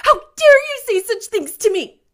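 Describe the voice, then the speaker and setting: A woman's voice: extremely high pitch, sing-song, normal speed, pitched above the performer's own voice. A woman voicing a hurt, furious young orphan girl in a storybook, with passionate, choked outbursts. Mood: tearful indignation.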